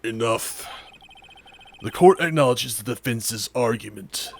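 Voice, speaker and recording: A man's voice: mid-range voice, measured pace, vocal fry, a little hiss or hum. A man voicing a stern, formal courtroom judge, speaking with authority in an improvised video game voice-over. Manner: authoritative, declarative.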